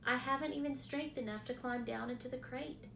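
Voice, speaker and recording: A woman as a quiet, wise spider. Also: sits high, fairly even intonation, brisk, creaky, a little hiss or hum, pitched above the performer's own voice. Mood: calm. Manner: quiet, soft, firm. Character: dying female spider, calm and wise. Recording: echoey room.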